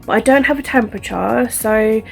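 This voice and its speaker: A young woman talks to her camera in a loose, rambling way, full of fillers and restarts. Her voice sounds stuffy and congested, as if she has a cold. Faint music sits quietly behind her. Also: a little high, moderate pace, vocal fry. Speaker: vlogger. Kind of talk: casual vlog chat.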